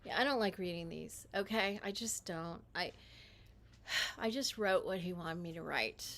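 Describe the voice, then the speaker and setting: A woman talking alone on a livestream from her home, rambling with halting restarts. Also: lowish voice, a bit bright. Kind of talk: casual livestream monologue.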